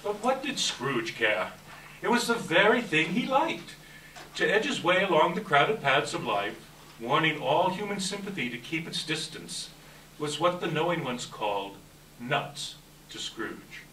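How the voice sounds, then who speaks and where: A man reads a story aloud in a live event with a bright, forceful voice. The room echoes around him and he sits away from the mic, with faint noise underneath. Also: on the high side, sing-song, measured pace, distant mic. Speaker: event presenter and story reader. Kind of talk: welcome remarks and dramatic live story reading. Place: library event room before an audience.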